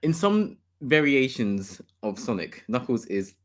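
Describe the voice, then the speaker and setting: A man's voice: medium pitch, very animated, measured pace, a bit bright. A man hosting a casual gaming podcast, enthusiastic and opinionated, laughing often. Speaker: podcast host.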